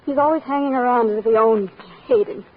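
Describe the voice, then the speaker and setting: A woman's voice: fairly high pitch, fast talker, natural voice. An actress playing an anxious, earnest young woman in an old radio drama, melodramatic and breathless. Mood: anxious, distressed. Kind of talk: 1940s-50s radio drama acting. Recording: muffled, noisy old radio recording.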